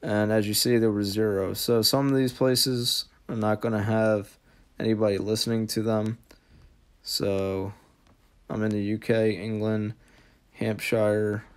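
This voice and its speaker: A man's voice: fairly low pitch, little pitch movement, unhurried, clean tone. A man giving a casual how-to voice-over as the host of an app tutorial.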